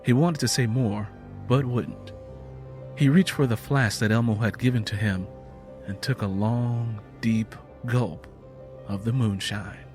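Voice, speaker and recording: A male narrator reads dramatic fiction at a medium pitch. Faint music plays behind his storytelling. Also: fairly even intonation, unhurried, a little mellow.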